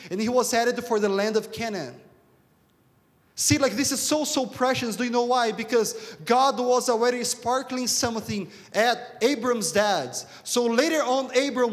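A man from Brazil with a Brazilian accent and Portuguese as his first language, preaching a sermon in an emphatic, repetitive way with rhetorical questions. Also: very high voice. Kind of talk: sermon to a congregation. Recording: reverberant hall sound.

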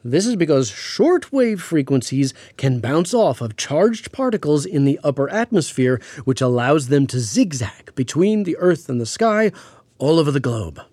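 An actor playing a learned, patient astrophysicist in an audio drama radio interview, explaining things in a measured, precise, pedantic way. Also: male voice, on the high side, big pitch swings, darkish tone, no echo. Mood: explanatory, precise. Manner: pedantic, measured, hesitant with hedging asides. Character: learned male astrophysicist, patient, precise, mildly amused Cold War expert. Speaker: astrophysicist guest on a radio show.

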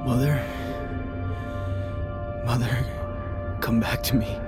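A man playing a grieving, doubtful young leader, solemn and halting, in a cinematic fantasy audio drama. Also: mid-range voice, steady tone, over loud music, natural voice.

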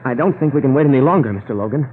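A man's voice: mid-range voice, expressive, racing, close to an everyday voice. Man playing a polite, anxious informant, tinny radio. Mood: anxious, urgent. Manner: polite, earnest, precise. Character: anxious, deferential male informant inside a Japanese society. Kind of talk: 1940s radio acting. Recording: noisy, tinny 1940s radio recording.

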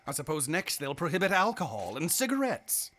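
A man's voice: a little high, sing-song, fairly quick, a bit bright. An actor playing a cold, impatient male doctor in a radio drama, brusque and sardonic.

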